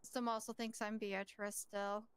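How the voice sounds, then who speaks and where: A woman improvising in-character game dialogue as a self-confessed criminal, in a deadpan, nonchalant manner. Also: mid-range voice, moderate pace, smooth, no room sound. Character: self-confessed male criminal, cheerfully accepting blame. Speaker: role-play gamer playing a suspect.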